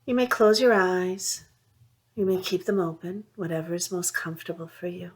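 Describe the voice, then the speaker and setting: A woman with a slightly low voice leads a mindfulness practice. She gives gentle instructions in short, soothing phrases. Long pauses separate them, keeping the delivery unhurried. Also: fairly even intonation. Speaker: mindfulness meditation guide. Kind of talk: guided meditation, gentle instruction.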